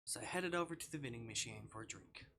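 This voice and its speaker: A man voicing a shy, self-deprecating student ghost in a visual novel, speaking in a hushed, halting way. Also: mid-range voice, expressive, slight background noise, natural voice. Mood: subdued, ashamed.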